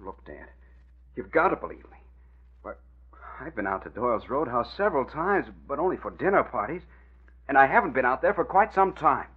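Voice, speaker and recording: A man's voice: mid-range voice, very animated, brisk, long pauses, breathy edge. An actor playing an earnest young man of twenty-one in an old radio drama, pleading insistently with his father. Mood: protesting. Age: young adult. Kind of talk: 1940s radio acting. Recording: thin, phone-like old radio recording.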